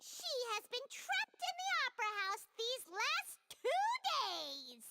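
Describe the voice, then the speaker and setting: A woman voicing a tiny childlike girl companion in a game, a cheeky, excitable amateur detective who tells things theatrically. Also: very high voice, slow, heavy background noise, exaggerated voice. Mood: dramatic, confident. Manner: theatrical, emphatic storytelling. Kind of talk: dramatic anime game acting.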